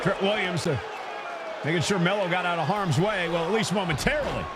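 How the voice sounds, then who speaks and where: High-pitched male wrestling play-by-play commentator. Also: animated, fairly quick, very noisy. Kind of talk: sports commentary. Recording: loud music and crowd behind. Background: loud arena crowd and music.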